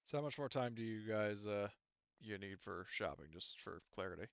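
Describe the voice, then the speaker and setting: A man narrating a tabletop game as game master in a casual, halting, rambling manner. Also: on the low side, vocal fry, no room sound, muffled recording. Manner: halting, rambling, filler-heavy explanations.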